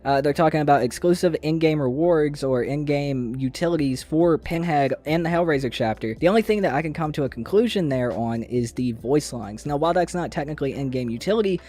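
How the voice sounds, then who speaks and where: A man's voice: a little high, a little fast, few pauses, a little mellow. A man hosting a casual gaming news video, speaking in an informal, slangy way with some mild swearing. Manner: informal, slangy, mildly profane. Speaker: gaming YouTube host.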